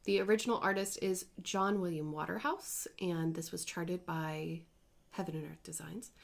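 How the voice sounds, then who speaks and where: A woman in her thirties chats at home about her stitching projects. She rambles and corrects herself as she goes, in an easygoing, conversational way. Her voice sits at a middling pitch. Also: a bit bright. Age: 30s. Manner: chatty and rambling, with self-corrections. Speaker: cross-stitch vlogger. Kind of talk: casual chatty crafting vlog.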